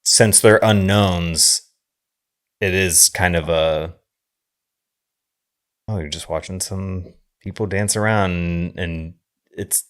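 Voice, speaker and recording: A man's voice: low-pitched, fairly even intonation, slow, long pauses, a bit bright, clear, resonant tone. A man co-hosting a podcast, talking casually about films in a musing, circling way. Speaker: podcast co-host discussing films. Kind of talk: casual film discussion.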